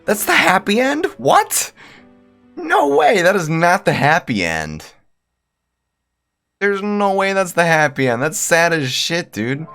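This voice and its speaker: A man's voice: high-pitched, wildly swooping pitch, slow, vocal fry, fairly bright tone, soft background music. A man streaming a visual novel with casual, slangy, swearing commentary and exclamations of mock anguish. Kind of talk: casual game commentary with read-aloud dialogue.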